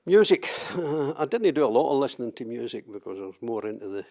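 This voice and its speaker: A man's voice: medium pitch, sing-song, fast, darkish tone. An older Scottish man from southwest Scotland, recalling his life in an oral history interview, hesitant with many fillers and repeated words. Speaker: interviewee, trade unionist.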